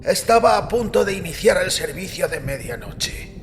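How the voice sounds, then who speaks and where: A man voicing an elderly, gentle, good-humored priest with courteous, formal old-fashioned speech in an audio drama. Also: high-pitched, very animated, moderate pace, big-room sound, a little hiss or hum, voice pushed up. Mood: welcoming. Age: older. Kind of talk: audiobook character acting.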